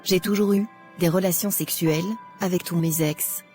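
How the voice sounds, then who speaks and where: A woman's voice: fairly low pitch, fairly even intonation, moderate pace, warm tone, close to an everyday voice. Woman as insistent young girlfriend, faint music. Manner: insistent, coaxing. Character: pushy young woman in love, impatient girlfriend.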